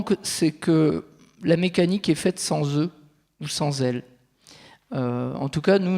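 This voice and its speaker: A man's voice: on the high side, a little mellow. A man giving an explanatory conference presentation, laying out his points step by step. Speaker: territorial consultant presenting at a conference. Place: large reverberant conference venue. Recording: echoey hall with long reverb.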